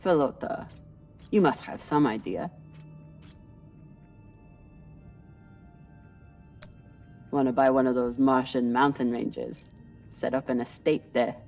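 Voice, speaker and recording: A woman's voice: a bass voice, moderate pace, a little mellow, far from the mic, faint music behind. A woman playing a tough, terse spaceship officer in a video game cutscene. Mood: dry, teasing. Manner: terse, commanding. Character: tough, guarded female spaceship first officer, Belter scavenger.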